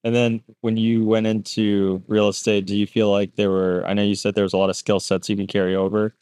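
A man hosts a relaxed interview podcast in a lowish, steady voice that stays flat in pitch. His tone is smooth and clear, and the sound is dry and close. He introduces his guest and asks easy, friendly questions with a few "uh"s. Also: a little fast, no echo. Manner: friendly, short prompts, fillers. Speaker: podcast host.

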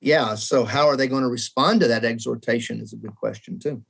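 A man's voice: medium pitch, a little fast, gravelly, a bit bright, slightly rough. Man in his seventies, earnest, reflective, self-deprecating, discussing faith, mid-range voice. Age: 70s. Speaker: guest scholar. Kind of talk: conversational theology discussion.

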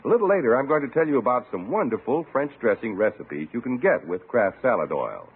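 Very animated male old-time radio announcer. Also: medium pitch, brisk, phone-quality sound, slight background noise. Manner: enthusiastic, polished sales delivery. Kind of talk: 1950s scripted radio announcing. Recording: thin old radio broadcast recording.

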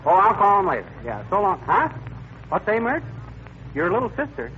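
Stubborn wisecracking radio comedy husband, folksy, voice jumps around, dull noisy old recording. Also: male voice, sits high, wildly swooping pitch, moderate pace. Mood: chatty, wisecracking. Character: blustery, wisecracking, folksy middle-aged husband, scheming and stubborn. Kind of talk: 1940s radio comedy acting.

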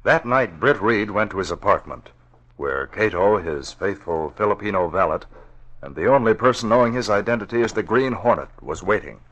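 A man narrating a 1940s radio adventure serial as a formal, deliberate storyteller. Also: fairly low pitch, expressive, fairly bright tone, lo-fi sound. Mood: dramatic, formal.

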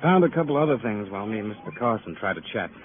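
An actor playing a talkative, wry and weary police sergeant in a 1950s radio crime drama, speaking in short colloquial sentences. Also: male voice, fairly low pitch, expressive, racing, natural voice. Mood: chatty, streetwise. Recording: noisy, narrow-band vintage radio recording.

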